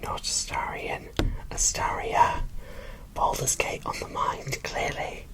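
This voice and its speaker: Slow, whispering woman doing ASMR. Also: distant mic. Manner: soft, unhurried, breathy whispering. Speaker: host of an ASMR doll unboxing channel. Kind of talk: whispered ASMR unboxing commentary.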